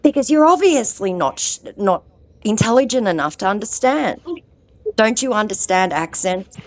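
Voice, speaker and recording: A woman's voice: medium pitch, lively intonation, normal speed, no room sound. A woman with an ear infection, mocking a phone scammer in a sarcastic, confrontational way. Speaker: scam-baiting vlogger. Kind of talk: confronting a phone scammer, then chatting to viewers.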